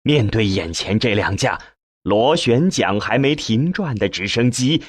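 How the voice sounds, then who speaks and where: Slow, husky male audiobook narrator. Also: fairly low pitch, dry recording. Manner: measured, expressive storytelling. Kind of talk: scripted narration of a science fiction novel.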